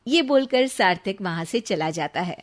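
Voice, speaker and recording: A woman reading scripted narration for a cartoon moral story in an even storytelling manner. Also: mid-range voice, normal speed.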